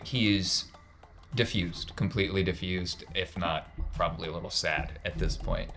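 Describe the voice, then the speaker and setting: A man's voice: deep voice, steady tone, moderate pace, fairly bright tone, slight background noise. A man working as game master, narrating casually and repeating phrases for emphasis.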